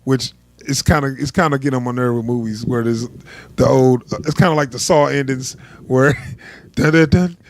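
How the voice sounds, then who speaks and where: A man's voice: mid-range voice, moderate pace, no echo. A man co-hosting a film review podcast, talking casually and laughing often. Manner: laughs often, relaxed colloquial speech. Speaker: podcast co-host, film critic. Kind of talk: casual movie review chat.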